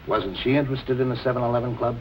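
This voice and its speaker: An actor playing a calm police captain in 1940s film noir style, questioning in a measured, politely insistent way. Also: male voice, medium pitch, slight background noise, close to an everyday voice, rough recording. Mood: coolly probing.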